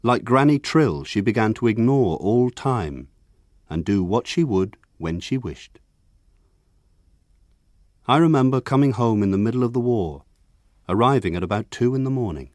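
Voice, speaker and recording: An actor playing an older man recalling his village childhood in measured, reflective, lyrical radio drama narration. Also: medium pitch, animated, darkish tone, dry recording, studio quality, lightly acted.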